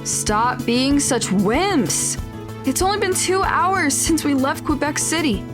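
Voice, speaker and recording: A woman's voice: high-pitched, animated, slow, over music. A woman playing a cocky, impatient teenage girl in an audio drama. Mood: impatient, boastful.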